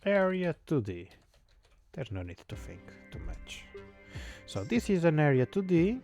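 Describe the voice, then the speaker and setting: A non-native English-speaking man thinks aloud as he codes on a live stream, filling pauses with hums and fillers. His voice is mellow and gravelly, and he talks slowly. Faint music plays behind him. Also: fairly high pitch, big pitch swings. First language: not English. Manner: thinks aloud, mutters to himself, frequent fillers. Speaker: game developer streamer. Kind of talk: casual live-stream coding chat.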